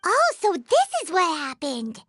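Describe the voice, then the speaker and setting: A woman voicing a small, childlike, curious fairy companion in a video game, with a squeaky, chirpy, naive delivery. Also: huge pitch swings, a little slow, fairly bright tone, no echo, exaggerated voice. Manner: speaks of herself in third person, inquisitive. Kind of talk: cartoonish video game voice acting. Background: game music.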